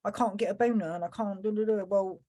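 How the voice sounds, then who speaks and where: A woman's voice: mid-range voice, fairly even intonation, quick pace, warm tone. A woman co-hosting a podcast in casual chat, rambling along and acting out what people said to her. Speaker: podcast co-host.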